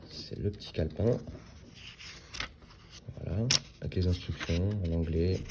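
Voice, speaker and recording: Low gravelly man, hesitant unboxing chat. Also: very noisy. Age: 30s. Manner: hesitant, lots of fillers and restarts. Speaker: watch reviewer. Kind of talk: casual unboxing review.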